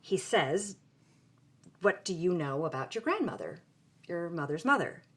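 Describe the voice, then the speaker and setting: An outspoken young woman being interviewed in an audio drama. Her voice is fairly low, and she tells her story in a wry, conversational way. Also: sing-song, measured pace, slightly rough, full character voice. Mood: wry, candid. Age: young adult. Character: frank young woman, film grad student telling her story.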